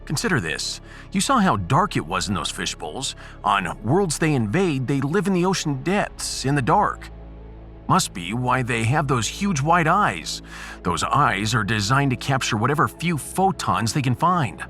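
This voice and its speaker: A man playing a sarcastic, excitable, unheroic starship captain in a science fiction audio drama. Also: mid-range voice, a little slow, faint music behind. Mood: scheming, insistent. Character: wry, cowardly but bantering male starship captain. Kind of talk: sci-fi audiodrama acting.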